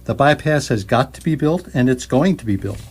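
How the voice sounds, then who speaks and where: A man's voice: medium pitch, animated, fairly quick, a little mellow, breathy edge. A man playing an officious, flustered bureaucrat in a comic radio play, patronizing, evasive and often hesitant. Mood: insistent. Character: officious, flustered male bureaucrat overseeing a house demolition.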